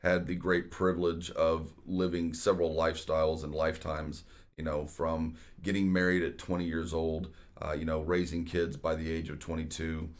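Man, forties, deep low voice, conversational host, lots of uhs. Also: level tone, moderate pace. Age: 40s. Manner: conversational, many fillers. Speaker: real estate podcast host. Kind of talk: casual solo talk.

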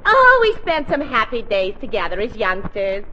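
A woman's voice: fairly high pitch, wildly swooping pitch, normal speed. An actress playing a giggly, gushing, sweet-toothed large middle-aged woman in a 1940s radio comedy. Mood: nostalgic, sentimental. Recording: muffled, noisy 1940s radio broadcast.

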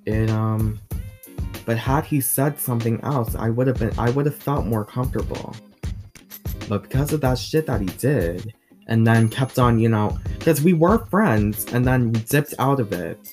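A teenage boy with a deep voice, hosting his own vlog in a chatty, blunt rant that swears freely. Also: normal speed, darkish tone, soft background music. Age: teens. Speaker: vlog host. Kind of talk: casual ranting monologue.

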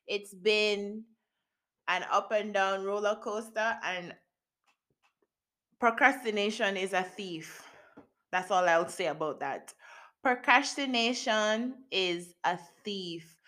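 Woman, late twenties, solo podcast host; unhurried, candid, playful slang, laughs a lot. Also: mid-range voice, pushed and bright. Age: late 20s. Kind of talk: casual solo podcast chat. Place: home in Japan.

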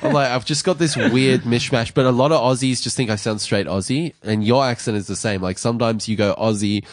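A man's voice: medium pitch, brisk, no room sound. A man co-hosting a casual podcast chat in a teasing, chatty way. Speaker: podcast co-host.

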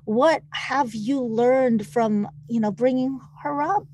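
A woman hosting an interview with a slightly higher voice. She asks casual, friendly questions and often restarts mid-sentence while she finds her wording. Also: takes it slowly, a little mellow, no echo.

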